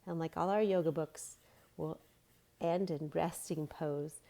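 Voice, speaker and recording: A woman reading a storybook aloud as a children's yoga teacher, giving simple, gentle guiding instructions. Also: low-pitched, steady tone, unhurried, gravelly.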